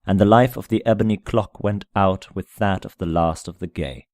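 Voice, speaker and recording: Deep voiced guy reading a spooky old story, solemn and measured, really dry recording. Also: a little mellow, no room sound. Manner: solemn, measured, deliberate phrasing. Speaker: amateur audiobook narrator. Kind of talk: dramatic gothic story reading.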